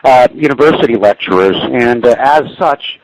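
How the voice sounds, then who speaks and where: A man's voice: medium pitch, moderate pace, low-quality recording. A man in his forties, in Cantonese, telling easygoing anecdotes as a guest in an interview. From Canada, raised in the US Pacific Northwest. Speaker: guest, business news anchor. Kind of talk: casual interview chat.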